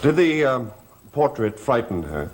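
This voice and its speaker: An actor playing a guarded, brooding gentleman of a haunted family in a gothic television soap, secretive and hesitant. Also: mid-range voice, sing-song, measured pace, slight background noise.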